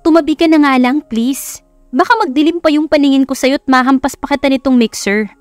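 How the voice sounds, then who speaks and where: A feisty young wife from a romance audio drama, voiced by a woman narrator. Her voice is high, snappy and sarcastic, quick to get annoyed. It is dry, over music. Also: no room sound, lightly acted.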